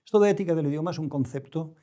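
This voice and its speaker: Mellow-voiced older Spanish man, reflective. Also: on the high side. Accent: European Spanish. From Spain. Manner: deliberate, halting, with erudite careful word choice. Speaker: interview guest, novelist. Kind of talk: reflective interview conversation.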